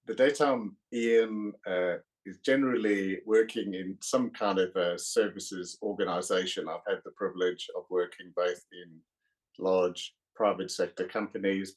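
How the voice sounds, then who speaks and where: A middle-aged man from South Africa with a South African accent, a guest author talking in a podcast interview, pausing often with fillers. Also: deep voice, poor audio. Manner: hesitant, many uh fillers.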